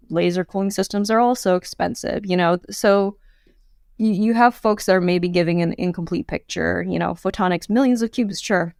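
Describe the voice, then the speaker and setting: A woman's voice: fairly low pitch, gravelly, dry recording, studio quality. A woman in her thirties, a podcast guest, talking candidly and chattily with many fillers. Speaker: podcast guest, quantum computing founder. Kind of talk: casual interview chat.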